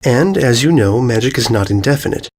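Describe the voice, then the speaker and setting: A man voicing a calm, regal female pony ruler, gracious and condescending, with unfeeling menace, in a horror story. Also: mid-range voice, a little fast. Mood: reassuring, smooth. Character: serene, regal mare ruler, politely sinister and unfeeling. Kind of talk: dramatized horror fan fiction reading.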